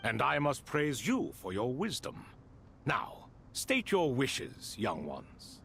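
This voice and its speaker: An actor playing an ancient, grand and wry elder in a video game, speaking in theatrical, courtly phrases. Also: male voice, medium pitch, huge pitch swings, slow pace, vocal fry, fairly bright tone, slight background noise, exaggerated voice. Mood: grand, solemn. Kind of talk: game voice acting.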